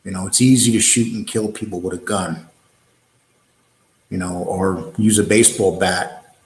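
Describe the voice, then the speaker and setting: A man's voice: low-pitched, fairly even intonation, normal speed, roomy sound. A man with a New York accent hosting a live online show, answering viewer comments in a blunt, combative way, with many fillers and restarts.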